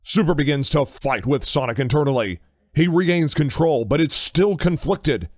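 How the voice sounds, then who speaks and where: A man's voice: on the high side, moderate pace, a little mellow, no echo, studio quality. A man reading scripted narration for an audio drama.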